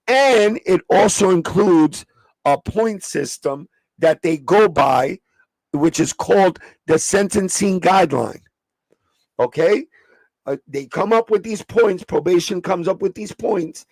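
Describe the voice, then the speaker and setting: Raspy new dude on a livestream, kinda high voice, dry close mic, cussing and breaking it down. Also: male voice, no echo, poor audio. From New York. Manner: streetwise, profane, explaining step by step. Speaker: livestream host. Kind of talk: casual livestream chat.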